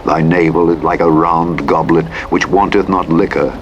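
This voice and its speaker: A man narrating the Bible in a measured, solemn scripted reading. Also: low voice, steady tone, dull audio. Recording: clear vehicle and road noise throughout. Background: truck engine and road sounds from a driving game.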